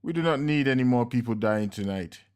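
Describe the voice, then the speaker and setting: A man voicing a slickly dressed, coolly polite and menacing henchman, speaking slow, measured and smug. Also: mid-range voice, animated, smooth, pitched above the performer's own voice, close to an everyday voice. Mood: smooth.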